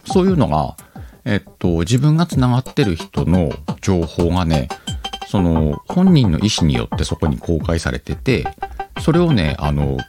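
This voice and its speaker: A man's voice: deep voice, wildly swooping pitch, moderate pace. A man hosting a podcast, chatting casually alone in an informal, playful way as he thinks aloud. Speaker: podcast host. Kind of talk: casual solo chat. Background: music.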